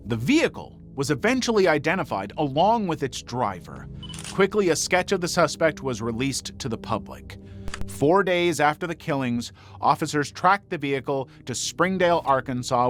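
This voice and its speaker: A man's voice: fairly high pitch, fairly bright tone. A man reading scripted true crime documentary narration. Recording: faint music bed behind narration. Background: music.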